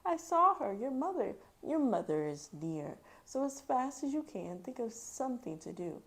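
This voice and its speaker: A woman's voice: fairly high pitch, big pitch swings, moderate pace, pitched above the performer's own voice. A woman voicing a fretful, bossy pet fish in a storybook, giving urgent, exclamatory warnings. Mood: alarmed.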